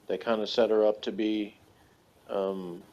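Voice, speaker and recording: A man chatting casually as a review co-host, thinking aloud in a halting, rambling way with small chuckles. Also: on the low side, flat delivery, a little mellow. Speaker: podcast co-host reviewing a TV series. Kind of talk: casual chat, rambling analysis. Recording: dull, lo-fi audio.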